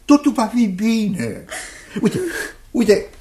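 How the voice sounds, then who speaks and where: An actor in a radio drama playing a kindly, fussy older gentleman, exclaiming, stammering and repeating himself. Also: high-pitched, lively intonation, slight background noise. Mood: soothing. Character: kindly, flustered older gentleman, protective guardian.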